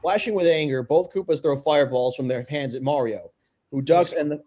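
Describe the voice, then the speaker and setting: A man reading stage directions aloud in an amateur script read-through, halting and tripping over words. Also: fairly high pitch, darkish tone.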